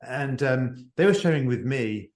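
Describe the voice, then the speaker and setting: A man's voice: mid-range voice, animated, unhurried, darkish tone. A man living near Paris, speaking with a non-native accent, reflectively explaining his work as a guest on a video call. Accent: non-native English speaker living in France. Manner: earnest, reflective, restarts phrases. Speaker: guest, CEO advisor and author. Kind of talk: conversational interview. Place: home office near Paris. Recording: roomy echoey video call audio.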